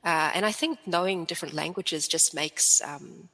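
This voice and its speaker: Bright-toned, hesitant New woman. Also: on the low side, moderate pace, long reverb tail. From New Zealand. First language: Swedish. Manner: thoughtful, hesitant, frequent um fillers. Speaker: storyteller guest. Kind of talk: casual interview chat.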